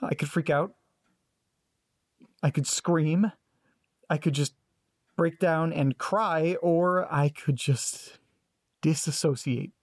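A man's voice: on the high side, slow, long pauses, dry recording. Actor as a guarded, sarcastic man, dry-voiced. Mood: bitter, detached. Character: guarded, sarcastic grandson detached from family trauma. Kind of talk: naturalistic audio drama acting.

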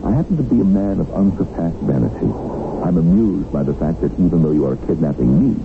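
Over a thin, noisy radio broadcast comes a vain, wealthy captive in middle age. His voice is extremely low and gravelly, with lively swings, and he talks in an urbane, amused, unhurried way. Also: phone-quality sound, full character voice. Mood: amused, mocking. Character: vain, amused, wealthy kidnapped capitalist, about fifty. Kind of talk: radio drama acting.

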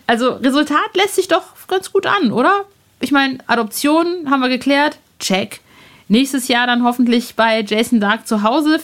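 Upbeat woman podcast host, higher voice. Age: 40s. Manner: lively, enthusiastic, friendly. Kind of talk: casual podcast chat.

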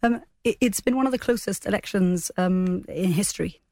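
A woman's voice: mid-range voice, moderate pace, darkish tone, dry recording, studio quality. A woman speaking as a political guest in a formal interview, earnest and careful with her phrasing.

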